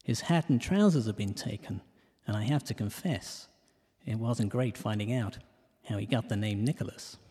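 A man narrating scripted comic rhyming verse in a measured, deliberate storytelling delivery. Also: lowish voice, mellow. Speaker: narrator of a comic detective podcast. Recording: long reverberant hall echo.

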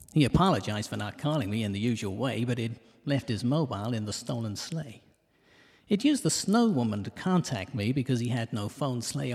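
A man narrating scripted comic rhyming verse in a measured, deliberate storytelling delivery. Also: medium pitch, vocal fry, darkish tone, breathy edge. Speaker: narrator of a comic detective podcast. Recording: long reverberant hall echo.

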